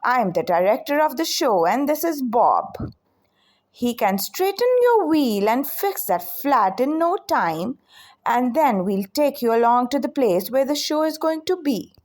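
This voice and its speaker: A woman reading the part of a brisk, authoritative male show director in a children's storybook. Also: high voice, big pitch swings, a little mellow. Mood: friendly, businesslike. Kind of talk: children's storybook read-aloud.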